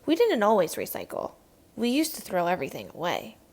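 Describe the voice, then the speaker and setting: A woman reading a children's picture book aloud in an expressive storytelling style. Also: mid-range voice, fairly quick, gravelly. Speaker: read-aloud narrator.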